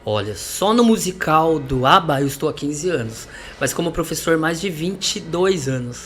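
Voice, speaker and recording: A man's voice: on the high side, animated, moderate pace, faint music behind. A man from Salvador, a musician and teacher, telling his story as a podcast guest in a chatty, reflective way with light laughs. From Salvador, Brazil. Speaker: musician and vocal coach guest. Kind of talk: podcast interview.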